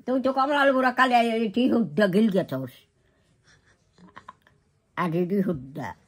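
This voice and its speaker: A woman's voice: mid-range voice, unhurried, breathy edge. An older woman, a grandmother, chatting casually with family in an East Bengali accent.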